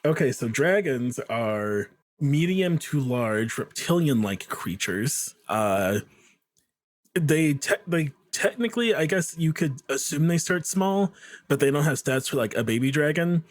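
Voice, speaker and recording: A guest on a podcast talking casually about tabletop monsters, lively and quick to laugh, often cutting himself off to restart a thought. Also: male voice, on the high side. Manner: animated, laughing, self-interrupting. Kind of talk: casual chat.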